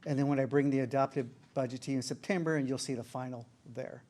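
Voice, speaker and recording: A man presenting at a board meeting, speaking in a relaxed, chatty way with frequent ums. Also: medium pitch, fast. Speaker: finance administrator presenting to a board.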